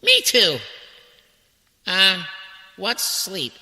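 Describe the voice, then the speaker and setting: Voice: medium pitch, huge pitch swings, extremely slow, loud music behind the voice, exaggerated voice. An actor voicing a tiny male water molecule in a children's radio drama, eager, curious and naive, full of wondering questions. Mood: hopeful, puzzled. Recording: heavily reverberant, echoing voice.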